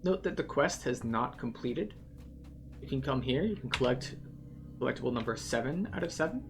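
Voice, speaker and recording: A game tour guide giving casual walkthrough commentary, explaining things in a matter-of-fact, unhurried way. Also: mid-range voice, lively intonation, background noise.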